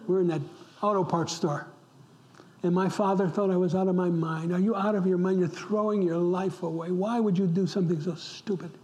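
A man's voice: high-pitched, darkish tone. A middle-aged man from upstate New York preaching a sermon as pastor, impassioned, repeating words for emphasis and dropping to a whisper at times. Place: church.

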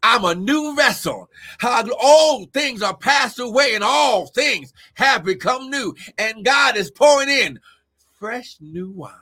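A male preacher delivering a fervent sermon, shouting often and calling out to members of his congregation. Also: very high-pitched, animated, slow pace. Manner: shouting, exclamatory, calls out congregation members by name. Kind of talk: Pentecostal-style sermon.

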